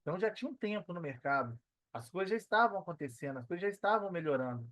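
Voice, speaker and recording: A man's voice: high-pitched, animated, fast, a bit bright, no room sound. A Brazilian man talking casually as an interview guest, using slang and repeating phrases for emphasis. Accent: Brazilian Portuguese. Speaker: podcast guest, digital marketer.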